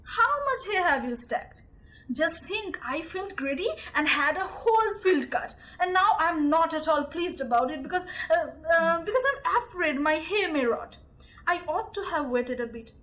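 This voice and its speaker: A woman's voice: extremely high pitch, expressive, moderate pace, fairly bright tone. A young Indian-accented woman playing a stubborn, indignant landowner's daughter in an amateur audio drama, arguing emphatically. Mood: chatty. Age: young adult. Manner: argumentative, emphatic, repeating words. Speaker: student actor.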